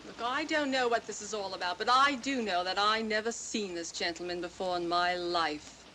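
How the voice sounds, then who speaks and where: A woman's voice: mid-range voice, normal speed, pushed and bright, close to an everyday voice. An actress playing a guarded, evasive woman suspect under questioning in an old mystery film. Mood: defensive. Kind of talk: 1940s mystery film acting. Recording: noisy vintage film soundtrack.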